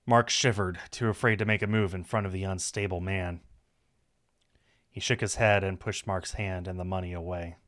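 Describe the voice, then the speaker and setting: Lowish-voiced man narrating a visual novel. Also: fairly even intonation, normal speed, a bit bright. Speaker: streamer reading a visual novel aloud. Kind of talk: story narration with casual asides.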